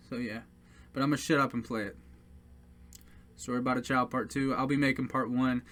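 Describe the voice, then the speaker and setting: A man's voice: medium pitch, fairly even intonation, normal speed, fairly bright tone. A man casually talking to his audience as a musician, hesitant and earnest, with many fillers and restarts. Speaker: independent musician presenting his songs. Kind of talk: casual talk to camera.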